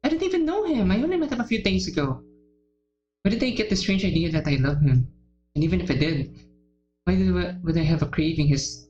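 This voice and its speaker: A woman's voice: fairly low pitch, dull audio, natural voice. A woman voicing an obsessive, unstable lovesick teenage girl from a horror game in a dramatic reading, swinging between sweet and menacing. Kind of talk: dramatic fan-fiction reading. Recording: roomy echo with faint music behind.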